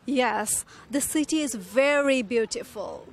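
High-voiced young woman from Bangladesh. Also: expressive, slow. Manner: friendly, simple answers. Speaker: tourist interviewee. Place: outdoors in a city.

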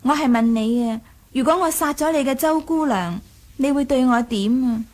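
High voice, woman acting young princess, radio drama, proud, coy, imperious, probing, ruthless. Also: moderate pace, a little mellow, lightly acted. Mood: probing, insistent.

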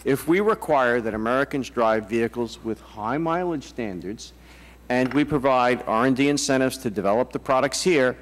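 A man's voice: mid-range voice, normal speed. A middle-aged man testifying at a hearing in a direct, plain-spoken way, into a desk microphone. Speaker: hearing witness. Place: large hearing room. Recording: reverberant hearing room.

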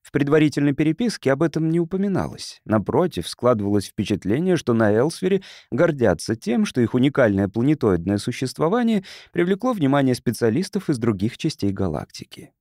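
A male narrator reads fiction expressively. His mid-pitched voice moves widely up and down, and the recording is dry and close. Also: big pitch swings, a little fast, hardly any pauses, a little mellow, dry recording. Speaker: audiobook narrator.

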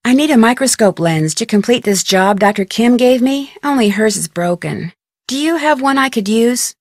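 A woman playing an inquisitive, polite and persistent young American amateur detective, speaking scripted adventure game dialogue in an earnest, expressive way. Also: fairly high pitch, normal speed, no echo, clean studio sound. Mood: earnest, probing. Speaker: video game voice actress.

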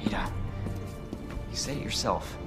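A man's voice: moderate pace, music in the background, natural voice. A man playing an earnest, protective young police officer in a video game drama. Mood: worried, protective.

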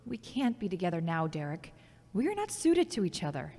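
A woman's voice: on the low side, very animated, a little fast, warm tone. An actress playing a frank, logical, critical yet caring former girlfriend in a scripted audio drama. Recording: long hall-like reverb.

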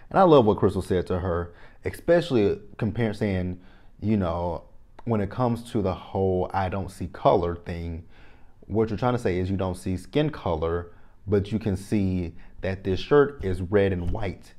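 Low, mellow-voiced Texan man vlogging. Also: unhurried. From Texas. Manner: animated, repeats phrases, talks straight to viewers. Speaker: reality TV recap vlogger. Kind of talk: casual opinionated review to camera. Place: small indoor room, plain backdrop. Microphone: lapel mic.

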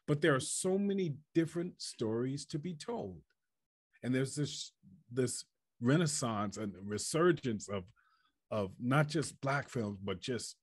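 A man chatting on a panel over a video call, halting and emphatic, repeating his phrases. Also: medium pitch, breathy edge, no echo, clean studio sound. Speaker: film director on a panel. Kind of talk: casual panel chat. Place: indoors on a group video call. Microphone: video call mic.

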